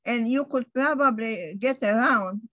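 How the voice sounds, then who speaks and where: Brief: older Polish woman, English not her first language, slightly high voice, halting recollection, dry close sound. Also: a bit bright, no room sound, poor audio. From Poland. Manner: halting, restarts often, light laughs. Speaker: interviewee. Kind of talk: recalling her past in conversation.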